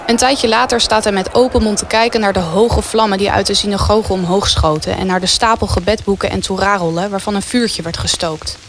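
A woman's voice: medium pitch, fairly even intonation, brisk, hardly any pauses, noisy background. A woman reading scripted narration as the storyteller of a historical radio play.

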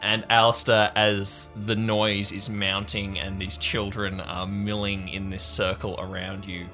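A man's voice: on the low side, little pitch movement, unhurried, pushed and bright, faint music behind. A man working as game master, improvising narration for a tabletop game in a wry, playful storytelling manner.

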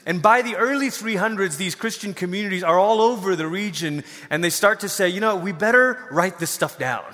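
A middle-aged man from Malaysia preaching a conversational sermon, telling personal stories in an informal, animated way. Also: high voice, brisk. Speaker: pastor. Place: large hall, preaching to a congregation. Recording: long reverberant hall sound.

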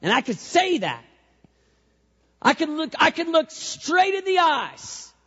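A male youth pastor with a squeaky voice, preaching an energetic sermon, repeating phrases for emphasis and leading the crowd in call-and-response. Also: slow pace. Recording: echoey hall, dull lo-fi sound.

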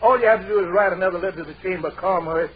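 An actor playing a breezy, affectionate boyfriend in a vintage radio sitcom. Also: male voice, high voice, rapid-fire, highs cut off, lightly acted. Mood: breezy, coaxing. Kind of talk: 1940s-50s radio sitcom acting. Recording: thin, hissy old radio recording.

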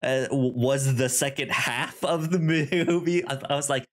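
A man co-hosting a podcast, discussing films casually in a halting, rambling way with easy laughter. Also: mid-range voice. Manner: rambling, stammering, laughs easily. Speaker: podcast co-host.

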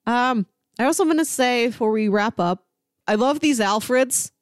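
A woman's voice: a little high, moderate pace, dry recording, studio quality. A woman co-hosting a podcast in casual discussion, explaining things enthusiastically with plenty of "like" in her phrasing. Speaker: podcast co-host. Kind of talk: casual comic book discussion.

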